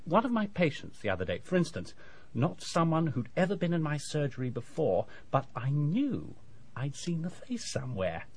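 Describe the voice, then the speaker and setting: Lilting, chatty English dentist. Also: male voice, a little high, wildly swooping pitch, breathy edge, exaggerated voice. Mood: opinionated, self-satisfied. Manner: chatty, brisk, self-assured bedside patter. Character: chatty, self-satisfied male English dentist, briskly professional. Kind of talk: radio drama acting.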